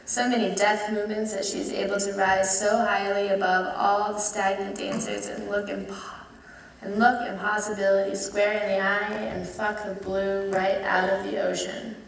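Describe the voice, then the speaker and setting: A woman's voice: medium pitch, level tone, moderate pace, vocal fry, a little hiss or hum. Woman reading prose, distant and echoey. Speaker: reader of a literary text. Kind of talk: literary prose reading over music. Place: large dark theatre, heard from far off. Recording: distant, echoey stage recording with music. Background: music.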